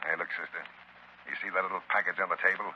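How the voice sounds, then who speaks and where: An actor playing a tough, suspicious gang boss who barks orders in slangy gangster talk in a 1930s radio drama. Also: male voice, low voice, fairly even intonation, fast, background noise, close to an everyday voice. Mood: menacing, suspicious. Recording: muffled, dull 1930s radio recording.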